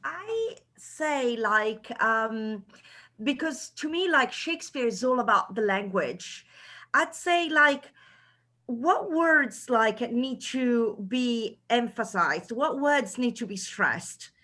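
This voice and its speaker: A woman's voice: fairly high pitch, animated, takes it slowly, sharp tone. A woman acting student asking a question in class, hesitant and full of filler words. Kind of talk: casual classroom discussion.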